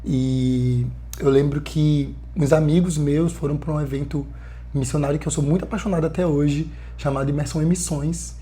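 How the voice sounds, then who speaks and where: A man in his twenties from Bahia, a missionary guest telling his story in an earnest, lively way with many fillers. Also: on the high side, moderate pace. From Bahia, Brazil. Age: 20s. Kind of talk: casual podcast conversation.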